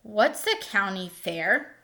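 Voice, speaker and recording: Woman as young male mouse, eager, cocky, inquisitive show-off, bright voice, storybook character. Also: medium pitch, big pitch swings, slow pace, full character voice. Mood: curious.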